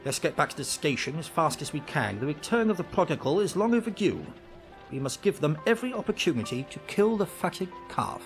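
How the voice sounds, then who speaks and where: Clipped English radio detective: highish voice, very animated, self-assured, commanding, old radio drama. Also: male voice, brisk, faint music behind. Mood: dry, brisk. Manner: clipped, authoritative, theatrical. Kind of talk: 1940s radio acting.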